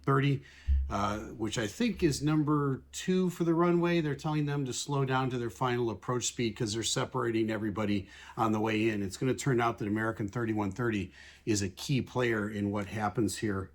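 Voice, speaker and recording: A man with a mid-pitched voice works as an aviation analyst, explaining things step by step. He is measured and plain-spoken, restating technical radio calls in simple everyday language. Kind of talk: explanatory commentary over air traffic recordings.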